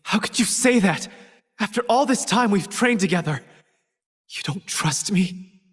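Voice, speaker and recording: An actor playing an earnest, self-doubting young college student who narrates his own story in a scripted animated game film. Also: high-pitched, a little slow, husky, no room sound, studio quality, heavily acted. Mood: hurt, angry. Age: young adult. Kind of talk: scripted first-person narration.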